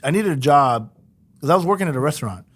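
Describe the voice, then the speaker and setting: A man's voice: on the high side, quick pace. A man with a Cuban accent, a guest chef telling a casual story and acting out the people in it. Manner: animated, acts out quoted people.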